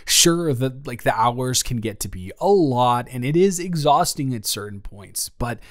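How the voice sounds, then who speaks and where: A man acts out a friendly male office boss with a mid-range voice. He speaks in a halting, conversational way, restarting his phrases as he goes. It is an intimate audio roleplay, addressed to a new intern with a flirty, slightly nervous excitement. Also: medium pitch, lightly acted. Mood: earnest, enthusiastic. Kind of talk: improvised romantic audio roleplay, spoken to the listener.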